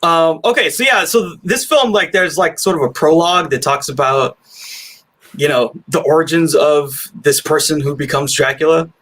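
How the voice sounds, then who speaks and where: A man's voice: on the high side, normal speed, a bit bright. A man hosting a film review podcast in a casual, conversational style.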